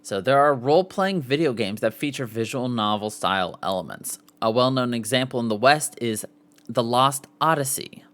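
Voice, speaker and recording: A man's voice: mid-range voice, fairly bright tone. A man hosting a podcast, reading an article aloud with casual asides and sometimes stumbling and correcting himself. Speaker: podcast host.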